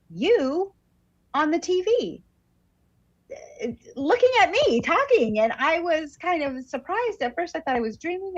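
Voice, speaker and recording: A woman guest on a livestream, telling personal stories in an effusive, conversational way. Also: a little high. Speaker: professional actor, guest. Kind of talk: casual livestream chat, personal anecdotes. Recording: dull lo-fi online call audio.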